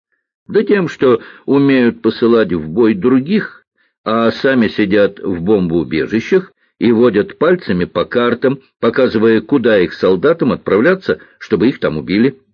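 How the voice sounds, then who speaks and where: A man's voice: fairly low pitch, sing-song, moderate pace, slightly rough, dry recording, dull audio, pitched above the performer's own voice. A man voicing a bold, stubborn little girl in a children's audiobook, asking pointed questions in an insistent way. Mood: knowing, defiant. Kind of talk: audiobook character voice, children's science fiction.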